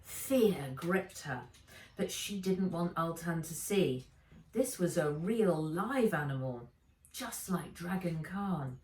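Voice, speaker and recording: A woman author reading a children's storybook aloud in a measured, expressive way. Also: fairly low pitch. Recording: echoey room, distant from mic.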